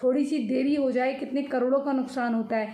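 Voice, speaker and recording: A woman with an Indian accent giving informal news commentary, explaining in a halting, repetitive way and mixing in English words. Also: high voice, fairly even intonation, mellow. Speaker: commentator on social and religious news. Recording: echoey room with faint noise.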